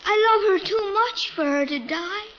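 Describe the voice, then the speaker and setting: A woman playing a tender, trusting young boy in an old-time radio drama, speaking in a halting, earnest way. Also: very high-pitched, fairly bright tone, poor audio. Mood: pleading, subdued. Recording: old radio broadcast, music behind.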